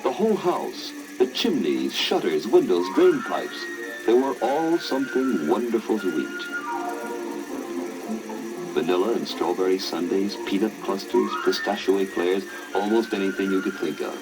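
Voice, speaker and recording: A male narrator tells a children's tale from a script with theatrical flair. His voice is deep and highly animated. Orchestral music plays behind him, as on an old story record. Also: normal speed, rough recording. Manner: theatrical, expressive phrasing.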